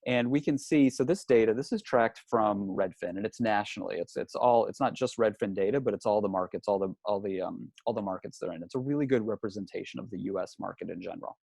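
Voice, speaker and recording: A man's voice: on the low side, fast, gravelly, hardly any pauses, a little mellow, dry recording. A man presenting a live webinar from a hotel room, talking through slides in a conversational way and often repeating words and restarting. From not from New Zealand. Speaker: real estate industry analyst presenting a webinar.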